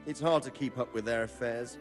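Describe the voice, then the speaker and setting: An actor playing a wary, fatherly middle-aged medieval nobleman in a game cutscene, speaking in a measured, earnest way. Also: mid-range voice, soft background music, close to an everyday voice. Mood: explaining. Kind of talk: video game cutscene acting.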